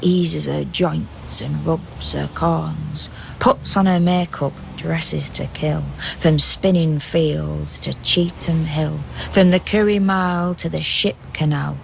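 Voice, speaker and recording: Tender spectral young woman, creaky low voice, unhurried lyrical narration, poetic radio play. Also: fairly even intonation, a little hiss or hum, heavily acted. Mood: lyrical, observant. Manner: slow, lyrical, incantatory verse.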